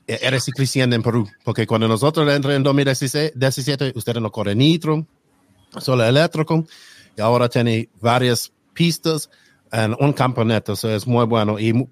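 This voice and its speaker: A man whose first language is English, hosting a casual podcast in halting Spanish and slipping into English now and then. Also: mid-range voice, no echo. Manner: halting non-native Spanish with slips into English. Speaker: podcast host.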